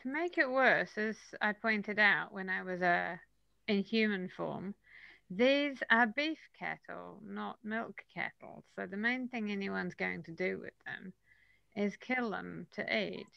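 A woman's voice: medium pitch, takes it slowly, gravelly, no echo. A woman with an English accent chatting casually as a player in an online tabletop game, on a dull call recording. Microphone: online group call.

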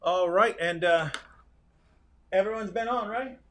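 A man in his thirties hosting a casual livestream from a hotel room, wrapping up with a relaxed, folksy tone. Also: high-pitched, measured pace. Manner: relaxed, folksy sign-off with fillers. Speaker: livestream host.

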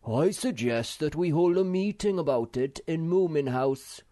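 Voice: medium pitch, expressive, unhurried, mellow, no room sound, pitched above the performer's own voice, lightly acted. A reader voicing the Snork, a fussy creature who speaks formally and hesitantly.

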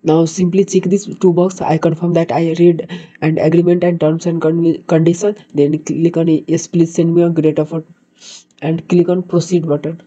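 A man with a Nepali accent and a very dark, soft-edged tone hosts a tutorial in an even, flat melody. He speaks haltingly and repeats words, and the microphone sound is dull and lo-fi. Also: on the high side, slightly rough. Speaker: tutorial host. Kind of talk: screen-recorded tutorial narration.

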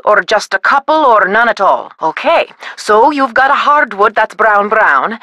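A woman's voice: mid-range voice, sharp tone, no echo. A woman playing a wood lab scientist in a video game, asking methodical questions over the phone with a dry joking manner.

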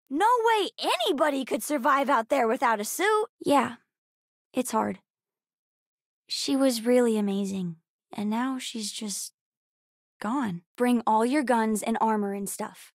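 A woman voicing a bright, bookish, sassy young girl in a video game, earnest, chatty and precocious. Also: a little high, dry recording, clean studio sound. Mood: subdued. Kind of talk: video game character dialogue.

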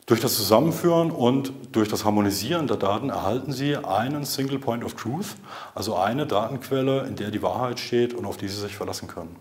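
Low-pitched man presenting formally. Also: brisk, a little mellow. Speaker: IT consultant presenting an explainer. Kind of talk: scripted corporate explainer to camera. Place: indoor video studio. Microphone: lapel mic.

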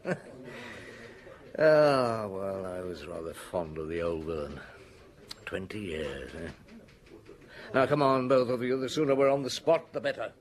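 Wry British detective chief, animated delivery. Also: male voice, on the low side, a little slow, pauses often. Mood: brisk, musing. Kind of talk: British radio drama acting.

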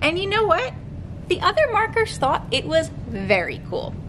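A young woman hosting a storytime, reading aloud to children in an expressive, sing-song way. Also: moderate pace. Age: young adult. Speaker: children's librarian, storytime host. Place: library room with bookshelves. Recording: clearly noisy room recording, low rumble.

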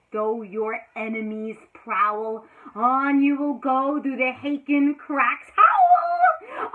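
Woman, 30s, teacher, children's read-aloud, high voice, slow deliberate reading, tinny phone-like sound. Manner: dramatic, swings between hushed and loud exclamations. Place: small indoor room before a green backdrop. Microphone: built-in camera mic at close range.

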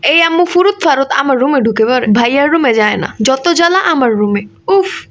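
A high, girlish cartoon voice acted by a woman, sassy and defiant. She complains and sulks, tossing out sarcastic remarks as a stubborn young cousin would. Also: expressive, normal speed, fairly bright tone, a little hiss or hum. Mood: sulky. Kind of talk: Bangla comedy cartoon dubbing.

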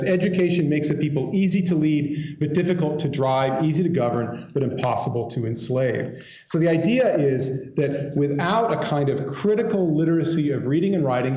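A man's voice: on the high side, dark tone. A man giving a keynote lecture in a conversational, digressive way with wry asides. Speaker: keynote speaker, academic lecturer. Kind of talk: conference lecture to an audience. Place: indoor lecture hall. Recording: echoey room, dull muffled sound.

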